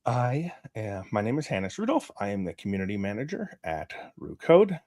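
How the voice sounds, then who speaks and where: Halting, fairly low-voiced man in casual interview. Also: huge pitch swings, darkish tone, no echo, clean studio sound. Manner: halting, restarts phrases often. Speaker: interview guest, community manager.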